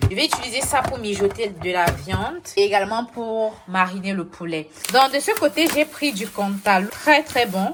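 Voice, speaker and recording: A woman with a cold chats to her camera at home after a long workday. Her voice is hoarse and tired, and she fills pauses with little fillers. A faint background hiss sits under the camera recording. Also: mid-range voice. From now living in Canada. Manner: tired, chatty, many fillers. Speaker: vlogger. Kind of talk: casual vlog chat. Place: home kitchen.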